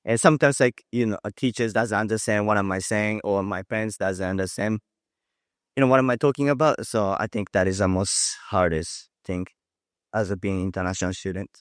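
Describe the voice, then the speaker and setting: A teenage boy with a Japanese accent, an international student answering panel questions in a halting, hesitant way. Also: on the low side, fairly even intonation, no room sound, studio quality. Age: teens. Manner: hesitant, halting, many fillers.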